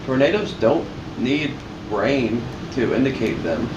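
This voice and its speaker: A man's voice: medium pitch, lively intonation, measured pace, muffled recording. A man chatting casually as a reaction host, explaining and pointing things out as he goes. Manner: explanatory, pointing out on-screen details. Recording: echoey room with loud background noise.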